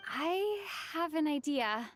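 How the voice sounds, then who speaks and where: An actress playing a modest, earnest and determined young traveling heroine in a video game. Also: female voice, high-pitched, expressive, takes it slowly, a little hiss or hum. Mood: tentative, thoughtful.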